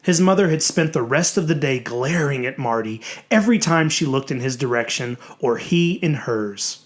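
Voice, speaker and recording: A narrator reading a horror story in a theatrical, dramatic way, with emphatic, drawn-out words. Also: medium pitch, expressive.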